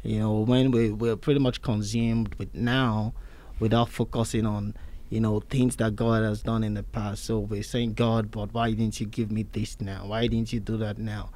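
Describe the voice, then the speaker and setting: A man co-hosting a faith podcast, relaxed, telling Bible stories with casual fillers. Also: fairly low pitch, moderate pace. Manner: relaxed storyteller, Bible examples. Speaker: podcast co-host.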